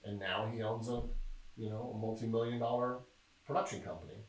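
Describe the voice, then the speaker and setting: A middle-aged man from Memphis, Tennessee, talking casually as a podcast guest and telling stories with a joking, relaxed manner. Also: lowish voice, fairly quick. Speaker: podcast guest, film producer. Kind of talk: casual interview chat. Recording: echoey room, distant mic.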